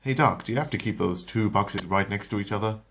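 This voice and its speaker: A talking cartoon dog speaks in a dry, wary, sardonic voice, as a man puts him on, sitting close to the performer's own deep register. It sounds understated and guarded. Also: brisk, very noisy, natural voice.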